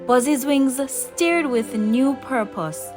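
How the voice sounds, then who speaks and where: A woman reading a scripted children's story as a narrator, expressive and unhurried, with deliberate pauses. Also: high-pitched. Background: background music.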